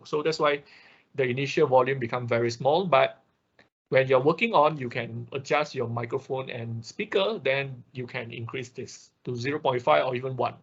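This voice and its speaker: A man giving an informal technical lesson online, explaining haltingly, repeating himself and tagging phrases with quick check-ins. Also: medium pitch, fairly bright tone, breathy edge. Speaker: workshop instructor. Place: online class over a computer. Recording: dull lo-fi online call audio.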